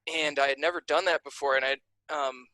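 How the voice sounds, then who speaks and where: Young chicago guy rambling on a podcast, bright voice, dry close sound. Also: fairly high pitch, brisk, no room sound, poor audio. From Chicago area, USA. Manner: relaxed, rambling, many fillers and restarts. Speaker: podcast guest, writer. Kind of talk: casual interview chat.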